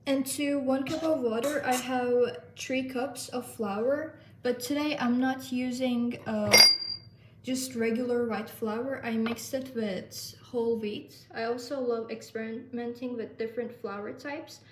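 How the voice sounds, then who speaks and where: A woman's voice: a little high, fairly even intonation, a little slow, roomy sound, recorded from a distance, noisy background. A young woman, chatting casually in her home kitchen as she bakes, with many fillers. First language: Turkish. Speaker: vlogger. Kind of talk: casual baking vlog narration.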